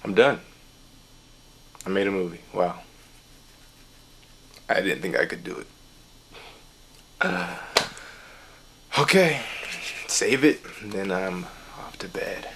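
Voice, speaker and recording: A man's voice: low-pitched, animated, slow, vocal fry, long pauses, a bit bright, slight background noise, full character voice. A man playing a weary amateur moviemaker, muttering to himself in a short film monologue. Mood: tired, relieved. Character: weary man struggling to finish making his movie.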